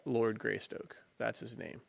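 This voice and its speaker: A man's voice: lowish voice, fairly even intonation, a little slow, creaky, husky. A man co-hosting a podcast, chatting casually and enthusiastically in slang about adventure stories. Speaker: podcast co-host. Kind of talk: casual chat about adventure books and films.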